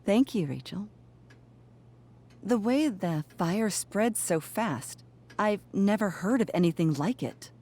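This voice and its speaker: A woman playing a polite, gentle middle-aged mother who hosts dinner in a video game, speaking courteously and properly. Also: medium pitch, expressive, slow pace. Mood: concerned. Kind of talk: naturalistic video game acting.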